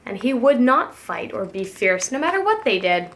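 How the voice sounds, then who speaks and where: A woman reading a children's storybook aloud as a preschool teacher, with gentle, expressive emphasis. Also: on the high side, moderate pace.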